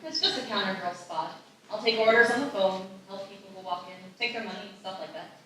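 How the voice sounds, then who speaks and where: A woman's voice: mid-range voice, fast talker, heavy background noise, lightly acted. Bold teenage girl, read by a woman, very echoey. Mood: friendly. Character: bold, teasing, caring sixteen-year-old girl. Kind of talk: live screenplay table read. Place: live festival reading in echoey room. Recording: very echoey room, distant mic.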